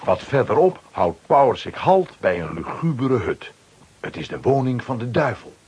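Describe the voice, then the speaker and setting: A man's voice: medium pitch, sing-song, normal speed, background noise. A man narrating a children's radio play from a script, dramatically.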